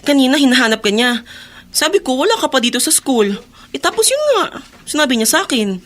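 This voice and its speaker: A woman's voice: high voice, big pitch swings, a little fast. A woman playing a teasing, gossipy teenage schoolboy in a radio drama. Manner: teasing, insistent. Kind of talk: Tagalog radio drama acting.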